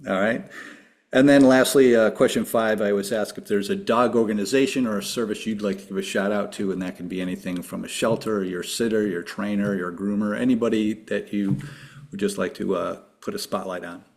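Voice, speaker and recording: A man's voice: on the low side, fairly even intonation, brisk. A man hosting a podcast, asking an upbeat string of numbered questions to his guest. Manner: upbeat, reads structured questions. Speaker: podcast host. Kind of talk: interview questions.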